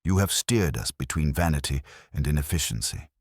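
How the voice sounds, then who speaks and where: A man voicing a composed, dignified older society woman, speaking in a formal, deliberate, arch way. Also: extremely low pitch, animated, no echo, studio quality. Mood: measured, arch.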